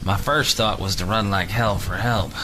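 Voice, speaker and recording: Low-voiced man narrating an audio drama. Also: fairly even intonation, moderate pace, noisy background. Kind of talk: dramatic first-person story narration.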